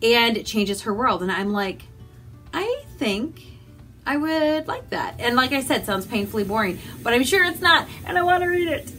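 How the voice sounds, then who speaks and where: A woman chatting casually as a book vlogger, animated and rambling, with self-deprecating asides. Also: fairly high pitch, normal speed, faint music behind. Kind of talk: casual chatty vlog to camera.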